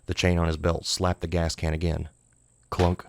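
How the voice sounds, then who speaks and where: Deep, gravelly male horror narrator. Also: monotone, normal speed. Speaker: audiobook narrator. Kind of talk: scripted horror story narration.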